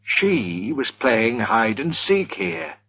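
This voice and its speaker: A man's voice: medium pitch, sing-song, slow, vocal fry, fairly bright tone, highs cut off, pitched above the performer's own voice, heavily acted. A man voicing a stern middle-aged host who speaks firmly and reproachfully.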